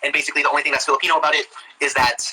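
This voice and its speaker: A man's voice: fairly high pitch, little pitch movement, very fast, sharp tone, low-quality recording. An American man giving casual, encouraging cooking instruction as a chef, often repeating himself, over a live video call.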